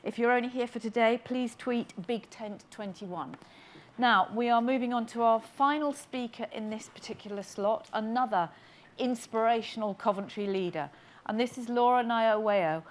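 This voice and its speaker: A woman's voice: fairly high pitch, moderate pace. A woman hosting a summit session, introducing speakers in a chatty way with fillers. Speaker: event host.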